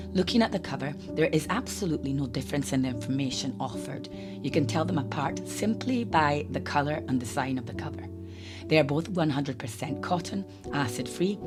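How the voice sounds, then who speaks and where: Woman with a croaky hoarse cold voice, low and husky, chatty art tutorial voice-over, guitar music playing under it. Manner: chatty, explanatory, lightly humorous. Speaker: art channel host. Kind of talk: narrated watercolour tutorial voice-over.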